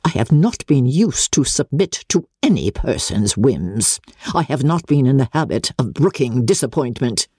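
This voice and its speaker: A woman's voice: extremely low pitch, very animated, normal speed, mellow, breathy edge, no room sound, studio quality, voice pushed down, exaggerated voice. A woman reading a classic novel aloud, voicing an imperious, indignant older aristocratic lady with pompous, emphatic delivery. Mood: outraged, imperious. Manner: pompous, declamatory, emphatic, formal. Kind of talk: dramatic audiobook reading of classic literature.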